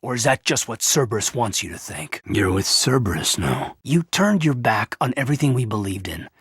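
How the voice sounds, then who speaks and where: A loyal, conflicted officer in a sci-fi video game. His voice is mid-pitched and husky, with a forceful edge and animated movement. He speaks with sincere military intensity, recorded close and dry. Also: normal speed, dry recording, full character voice. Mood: wounded, accusing. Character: earnest, loyal, conflicted adult male space marine officer. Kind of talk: video game voice acting, dramatic dialogue.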